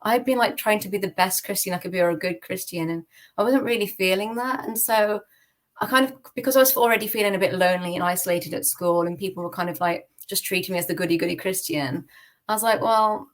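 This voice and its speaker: Woman, British accent, mid pitch, podcast guest, personal storytelling, candid, conversational, informal. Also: fast. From United Kingdom. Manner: candid, conversational, peppered with "like" and "kind of".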